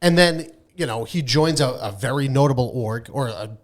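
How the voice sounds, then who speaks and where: A man's voice: mid-range voice, moderate pace. A man co-hosting a casual gaming podcast, talking informally and emphatically with plenty of swearing. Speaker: podcast co-host.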